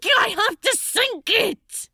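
A woman's voice: extremely high pitch, expressive, slow pace, bright, forceful tone, no room sound, clean studio sound, voice pushed up, full character voice. A woman voicing an anguished, despairing male demon in a story reading, panting and strained through clenched teeth. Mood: anguished, desperate. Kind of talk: dramatic fan fiction reading.

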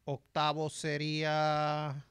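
A man co-hosting a casual talk, relaxed and hesitant. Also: a little high, extremely slow, smooth, no echo. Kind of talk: casual chat.

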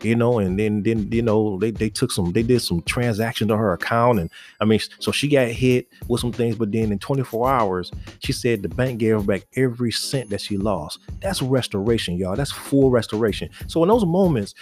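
A man's voice: lowish voice, moderate pace, a little mellow, faint music behind. A man in his forties preaching casually to other men, earnest and exhorting, often restarting and repeating words. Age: 40s. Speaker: faith podcast host.

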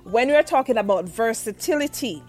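A woman interviewer with a fairly high voice, effusive and gushing with praise. She laughs easily as she talks. Faint music plays behind her. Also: expressive, moderate pace, a little mellow. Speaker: radio show host. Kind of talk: lively online interview.

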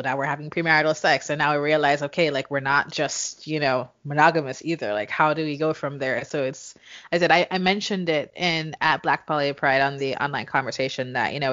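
Brief: thirties woman, podcast co-host, very low voice, frank and laughing, dull lo-fi audio. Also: fairly bright tone, no echo. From Jamaica. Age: 30s. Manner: candid, laughing, frequent swearing. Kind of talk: casual chat.

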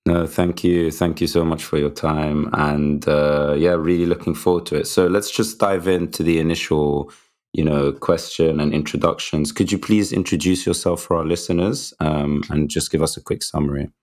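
Male co-host, extremely deep voice, enthusiastic and encouraging. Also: fairly even intonation, moderate pace, a little mellow. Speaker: podcast co-host. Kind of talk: podcast interview.

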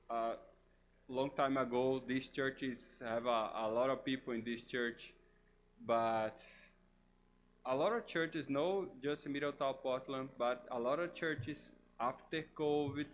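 A man from Brazil with a Brazilian Portuguese accent, a guest pastor preaching in halting English, searching for words. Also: medium pitch, slight background noise. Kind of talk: sermon.

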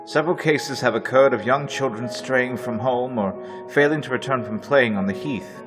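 A man narrating a classic radio drama, reading journal entries in a measured, low voice. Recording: long reverb, faint music.

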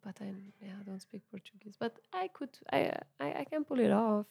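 A young woman whose first language is Italian talks loosely about her music, her voice carrying a light gravelly fry. She fills her sentences with little fillers and soft laughs at a mid pitch. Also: lively intonation, brisk. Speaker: musician guest. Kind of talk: casual interview chat.

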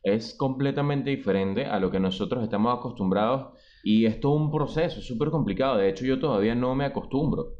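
Venezuelan podcast co-host, wry and reflective, muffled recording. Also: male voice, mid-range voice, a little fast, few pauses. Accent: Venezuelan Spanish. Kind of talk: casual chat.

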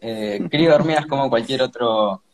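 A sixteen-year-old young man chatting casually and haltingly about his hobby as a guest. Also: mid-range voice, vocal fry, a crowd in the background. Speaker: guest hobbyist ant keeper. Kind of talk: casual chat.

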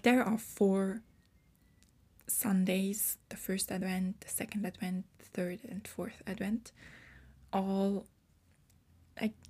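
A woman's voice: medium pitch, a little mellow. A woman in her twenties hosting her own podcast, explaining things carefully and correcting her wording as she goes. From Switzerland. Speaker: podcast host.

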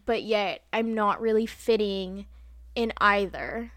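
A woman's voice: medium pitch, takes it slowly, fairly bright tone, no echo. A young American woman with an American accent, chatting casually and poking fun at herself. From United States. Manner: chatty, self-deprecating. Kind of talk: casual chat.

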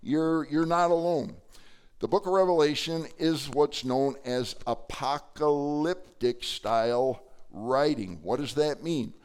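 Slightly hoarse man preaching. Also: on the high side, slow. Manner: conversational preaching with many fillers. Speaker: pastor. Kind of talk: sermon. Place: church sanctuary.

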